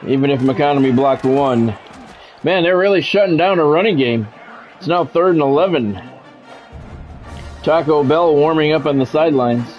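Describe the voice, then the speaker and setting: A man's voice: fairly high pitch, normal speed, pauses often, faint music behind. Man calling football, dull lo-fi sound. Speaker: game streamer calling play-by-play. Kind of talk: live sports commentary over a football video game, mixed with casual remarks. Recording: dull lo-fi sound, faint background noise.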